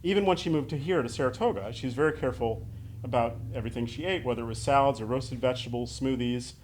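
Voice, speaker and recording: A man's voice: mid-range voice, quick pace, a little mellow, slight background noise, poor audio. A middle-aged American man delivering a prepared memorial speech to an audience in a heartfelt, conversational way. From Western New York State, United States. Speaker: son giving opening remarks at a memorial.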